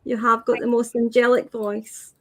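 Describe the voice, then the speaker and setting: A woman hosting an interview, speaking softly and hesitantly with many filler sounds. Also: on the high side, flat delivery, moderate pace. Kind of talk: casual interview chat.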